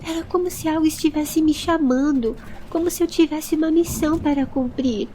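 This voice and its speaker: A high-voiced young boy in an audio story acted out by a woman. He is nervous and earnest, pleading his case in a plaintive, apologetic way. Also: a little fast, warm tone, a little hiss or hum, lightly acted. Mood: tearful, earnest.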